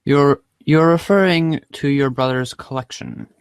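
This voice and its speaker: Brief: man as bookish teen girl for webcomic drama, dry, formal, halting, in an ordinary mid-pitched voice. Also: gravelly, clear, resonant tone, no echo. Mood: measured. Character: wry, wordy, analytical teenage girl.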